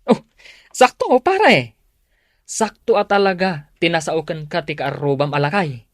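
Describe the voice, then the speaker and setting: Animated, high-pitched actor as blunt Ilocano buddy. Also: male voice, measured pace, no echo, clean studio sound. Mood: teasing, warning. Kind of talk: Ilocano radio drama acting.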